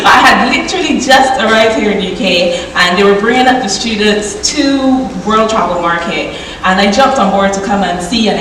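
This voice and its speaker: A woman's voice: medium pitch, distant mic, a little hiss or hum. Barbadian woman speaking in an echoey hall. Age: 20s. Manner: lively, chatty, addresses audience directly. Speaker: conference speaker. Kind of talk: conference keynote talk. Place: large conference hall.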